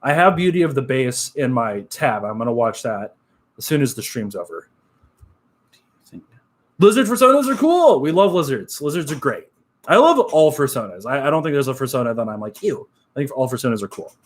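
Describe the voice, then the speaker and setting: A young man with a nasal voice, chatting casually on a live stream, upbeat and relaxed, full of fillers. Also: medium pitch, a little fast. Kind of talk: casual live-stream chat reading comments.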